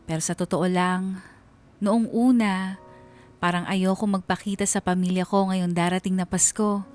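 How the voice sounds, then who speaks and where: A woman's voice: medium pitch, fairly even intonation, normal speed, a little mellow, soft background music, natural voice. A woman playing an aggrieved married schoolteacher, narrating her family troubles as a confession in a radio drama. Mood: aggrieved, reflective.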